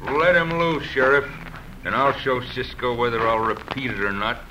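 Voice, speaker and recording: An actor playing a hot-tempered, double-crossing cowboy tracker with an American accent and folksy talk in an old radio western. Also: male voice, medium pitch, lively intonation, moderate pace, lightly acted. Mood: threatening. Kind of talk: 1950s radio western acting. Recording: noisy old radio drama recording.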